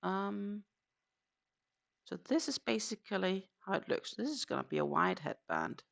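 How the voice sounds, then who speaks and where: A woman's voice: medium pitch, sing-song, measured pace, gravelly. A woman with a Danish accent chatting casually on a crochet live stream, counting her stitches aloud and answering viewers.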